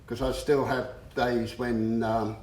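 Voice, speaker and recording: A man with a stammer giving a personal talk, slow and halting with frequent pauses and fillers. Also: mid-range voice, level tone, a little mellow, a little hiss or hum. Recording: echoey room.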